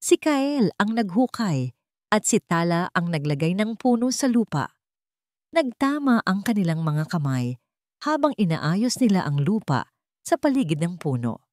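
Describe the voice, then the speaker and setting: A woman's voice: fairly low pitch, darkish tone, dry recording, clean studio sound. A woman reading a scripted dramatic story as a storyteller.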